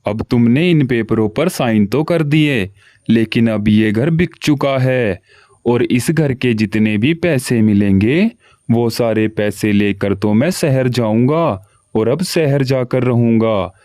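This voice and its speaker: A man's voice: mid-range voice, takes it slowly, a little mellow, no echo, clean studio sound, lightly acted. A man voicing a lazy, greedy young male crow in a cartoon, whiny and scheming. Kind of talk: cartoon voice acting.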